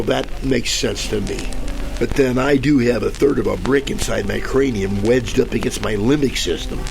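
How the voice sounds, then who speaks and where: A man playing a hard-boiled detective in a comic old-time radio show, talking in deadpan tough-guy wisecracks. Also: medium pitch, creaky, rough recording. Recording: music bed under the voice.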